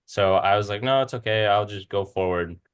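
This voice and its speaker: A man in his twenties from Southern California speaks in a fairly low voice with a Californian American accent. He presents informally, like a student addressing peers, with a casual, self-deprecating way of talking. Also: brisk, smooth. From Southern California, United States. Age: 20s. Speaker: student speaker, medical school applicant.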